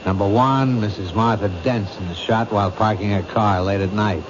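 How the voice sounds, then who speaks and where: An actor playing a world-weary police captain in a 1970s radio drama, brusque, cynical and matter-of-fact. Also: male voice, lowish voice, fairly even intonation, normal speed, natural voice. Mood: dismissive. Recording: muffled, noisy old radio broadcast.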